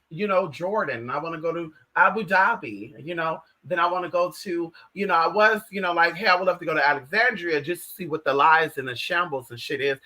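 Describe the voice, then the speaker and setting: An American man with a high, strikingly bright and forceful voice hosts a casual livestream. He talks straight to viewers in a sassy, slangy manner, leaning hard on words and calling listeners by pet names. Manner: sassy, slangy, emphatic, addresses listeners as honey and girl. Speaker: livestream host.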